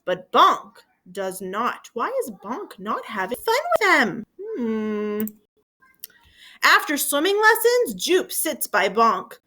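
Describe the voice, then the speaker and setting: A woman's voice: a little high, big pitch swings, slow pace, pushed and bright. A woman teacher reading aloud to young children, addressing them as friends and prompting them with questions. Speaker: elementary school teacher.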